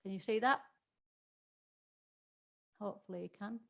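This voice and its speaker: A woman's voice: medium pitch, measured pace, gravelly. A middle-aged woman with a Yorkshire accent, giving a live online training webinar in a chatty way, full of ums and restarts.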